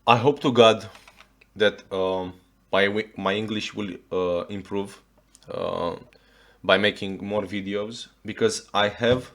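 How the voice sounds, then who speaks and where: A man's voice: on the low side, fairly even intonation, slow pace, a bit bright. A man from Romania speaking English with a Romanian accent as a reaction video host, swearing often and restarting his sentences as he rants in exasperation. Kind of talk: ranting reaction commentary.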